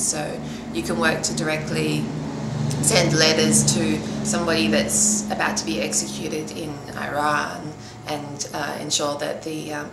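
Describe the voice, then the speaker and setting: A low-voiced Australian woman answers interview questions informally, her pitch staying fairly flat. She is recorded in a roomy space with clear background noise.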